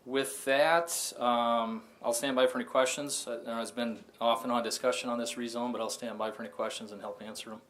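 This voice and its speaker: An American man, a public works director, delivering a formal staff report in a halting, matter-of-fact manner. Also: medium pitch, steady tone, a bit bright.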